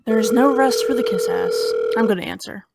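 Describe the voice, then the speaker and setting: A woman's voice: on the high side, a little fast, heavy background noise, close to an everyday voice. An actress playing a brash, mocking teenage girl in an audio drama, sarcastic, flippant and theatrical. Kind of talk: naturalistic audio drama acting.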